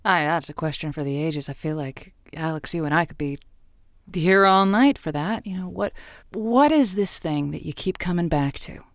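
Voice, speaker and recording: A woman's voice: sits low, animated, normal speed, dry recording, clean studio sound. A woman playing a stammering radio advice host. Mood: flustered. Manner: hesitant, stumbling and restarting. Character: waffling, people-pleasing female radio advice host. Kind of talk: improvised audio drama.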